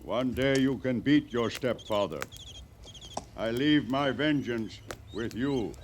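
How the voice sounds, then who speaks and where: An actor playing a proud, grave Cheyenne warrior who speaks halting broken English in a radio western. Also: male voice, medium pitch, lightly acted. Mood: solemn. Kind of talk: 1950s radio western acting. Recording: noisy old radio drama recording.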